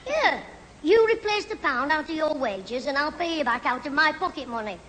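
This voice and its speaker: A man's voice: extremely high pitch, very animated, pushed and bright, in a large hall, full character voice. A man playing a cheeky, scheming schoolboy in a 1970s British radio sitcom. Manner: cheeky, quick to fib and bluster. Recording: old radio recording, faint hiss, studio audience.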